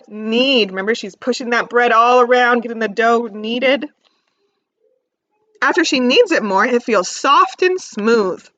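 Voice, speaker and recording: Very lively, high-pitched woman reading to children. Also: dry recording, muffled recording. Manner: expressive, instructive, emphasizes key words, pauses to ask simple questions. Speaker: teacher reading aloud to young children. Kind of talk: read-aloud lesson with questions to young listeners.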